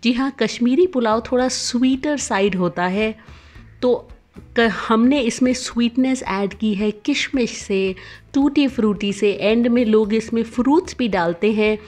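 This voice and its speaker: A woman, host of a cooking channel, narrating a recipe voice-over with clear step-by-step instructions. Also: on the high side, measured pace, a little mellow, soft background music.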